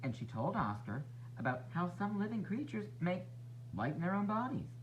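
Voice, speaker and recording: A man narrating a children's storybook as a teacher would, expressive and instructive for young listeners. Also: high voice, normal speed, lo-fi sound, a little hiss or hum. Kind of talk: children's read-aloud narration.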